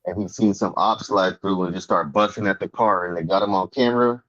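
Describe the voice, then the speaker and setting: A man in casual livestream chat, telling stories in relaxed, laid-back slang. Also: low voice, a little fast. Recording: dull lo-fi stream audio.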